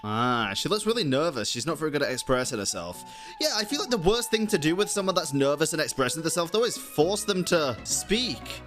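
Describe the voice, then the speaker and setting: A woman's voice: low voice, big pitch swings, a little fast. A woman giving casual live reactions as a game streamer, wry, informal and sweary. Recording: game music behind the voice.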